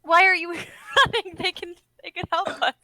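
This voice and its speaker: Young woman doing a scared confused heroine, super high bright voice, pleading, dry mic. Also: steady tone, normal speed, no echo, full character voice. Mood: bewildered. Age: young adult. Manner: pleading, bewildered. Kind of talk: amateur dramatic script reading on livestream.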